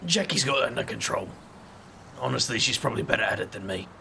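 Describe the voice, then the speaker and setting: A man plays a wry, easygoing street magician with a deeply gravelly, crackling voice. He talks in a dry, self-deprecating way, over steady background hiss. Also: fast talker, full character voice. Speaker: first-person narrator of the story.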